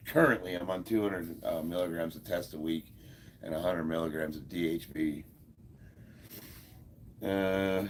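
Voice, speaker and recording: A man in his fifties with a deep, gravelly voice, stuffed up, chatting casually on a livestream and answering viewer comments with frequent chuckles. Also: a little mellow, slight background noise. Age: 50s. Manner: laid-back, chuckles often, many fillers. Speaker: livestream host.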